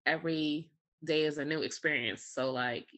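A woman's voice: sits low, moderate pace. A woman speaking in a casual, conversational interview style, telling things through little acted-out quotes with lots of likes and you-knows. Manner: animated storytelling with acted-out quotes, frequent "like" and "you know". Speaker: air traffic controller, interview guest.

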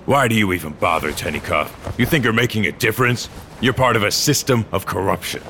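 An actor playing a weary, bitter old courier, a gruff and sardonic loner, in a noir science-fiction audio drama. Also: male voice, on the low side, animated, fairly quick, pushed and bright, slight background noise. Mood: sardonic, defiant. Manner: brooding, world-weary, sardonic. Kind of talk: first-person noir audio drama acting.

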